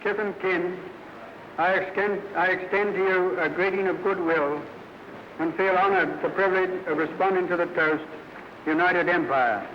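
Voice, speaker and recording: A man's voice: sits high, steady tone, moderate pace, background noise. A man giving formal after-dinner oratory in stately, separated phrases. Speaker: banquet speaker. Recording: reverberant hall, dull 1930s radio broadcast.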